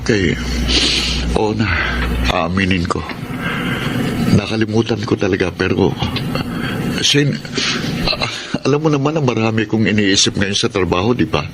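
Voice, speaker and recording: An actor with a Filipino accent playing an overworked, defensive young son and boyfriend in a radio drama. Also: male voice, lowish voice, normal speed, pauses often, heavy background noise, close to an everyday voice. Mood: flustered.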